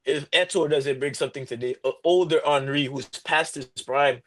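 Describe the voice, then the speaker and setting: A young man co-hosting a podcast, making emphatic, excited football claims in casual slang. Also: on the high side, moderate pace. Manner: emphatic, peppers every line with "bro". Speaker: podcast co-host.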